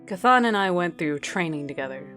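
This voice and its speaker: A woman's voice: on the low side, expressive, moderate pace, a bit bright, faint music behind. A woman playing a stubborn, protective captain of a space voyage in an earnest radio drama performance. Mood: reflective.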